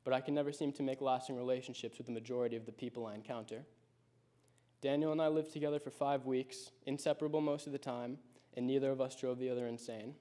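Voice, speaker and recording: A high school senior in his late teens delivering a prepared personal speech, telling his story in a reflective, measured way. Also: fairly even intonation, warm tone.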